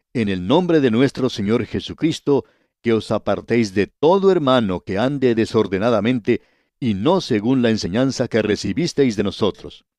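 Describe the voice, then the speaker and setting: A man giving a radio Bible study in a measured, didactic way, addressing the listener directly as a teacher. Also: lowish voice, a little mellow, no echo, studio quality.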